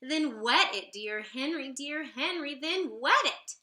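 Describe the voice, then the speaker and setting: A woman reading a children's picture book aloud in an expressive, theatrical sing-song way. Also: sits high, a little slow. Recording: roomy, echoey sound.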